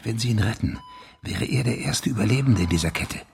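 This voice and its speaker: An actor playing an insistent senior policeman who also narrates a radio thriller, measured and intense. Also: fairly low pitch, animated, gravelly, a little mellow. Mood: urgent, probing. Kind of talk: radio drama dialogue and first-person narration.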